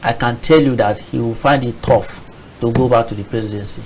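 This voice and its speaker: A Nigerian man comments on politics as a guest in a TV studio. His voice sits at a medium pitch. He presses his point insistently, often saying the same phrase again. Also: fairly even intonation, a little fast, darkish tone, slight background noise, rough recording. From southeastern Nigeria. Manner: insistent, repeats himself. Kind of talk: live political discussion.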